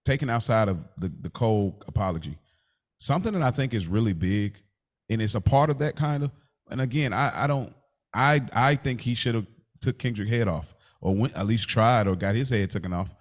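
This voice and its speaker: Male podcast co-host, fairly low register. Conversational, slangy, circles around his point while thinking out loud. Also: a little fast. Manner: slangy, roundabout, thinking aloud.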